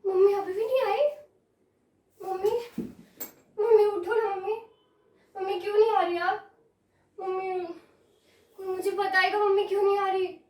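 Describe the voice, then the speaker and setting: A troubled, childlike girl in a dramatic monologue, her voice extremely high and innocently confused. She speaks slowly, repeating herself plaintively. The sound is roomy and echoing, picked up at a distance. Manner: childlike, plaintive, repetitive, hushing. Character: childlike, disturbed girl, innocently confused, pleading, with chilling calm. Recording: echoey room, distant microphone.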